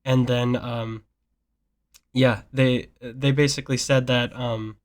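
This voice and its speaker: A young man in a casual chat with family, speaking earnestly and at length. His voice sits at an ordinary middle pitch, and his thoughts wander with plenty of fillers as he works through them. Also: level tone, takes it slowly. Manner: earnest, rambling, many fillers. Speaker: nephew.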